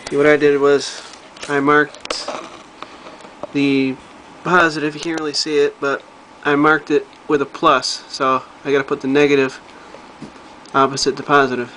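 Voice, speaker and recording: A man's voice: on the high side, flat delivery, moderate pace, pushed and bright, a little hiss or hum, low-quality recording. A man talking informally and thinking aloud as a hobbyist doing a hands-on electronics repair, filming himself with a handheld camera. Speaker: hobbyist repairing vintage audio gear. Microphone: handheld camera he operates himself.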